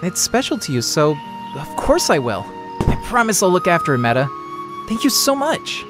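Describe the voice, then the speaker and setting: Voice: mid-range voice, very animated, normal speed, music in the background, close to an everyday voice. A performer voicing a cheerful, easygoing young creature, earnest and childlike, in a casual cartoon comic dub. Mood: warm, caring.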